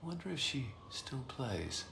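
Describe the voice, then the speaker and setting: A man's voice: medium pitch, big pitch swings, moderate pace, creaky, sharp tone, reverberant, a little hiss or hum, full character voice. A man playing an earnest, dutiful military commander in a video game, formal and reserved with a dry competitive streak. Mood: fondly reminiscing. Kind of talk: dramatic game dialogue.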